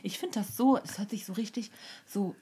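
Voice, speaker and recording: A woman's voice: medium pitch, a little fast, dark tone, husky. A woman co-hosting a podcast in casual chat, playful, teasing and enthusiastic. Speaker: podcast co-host.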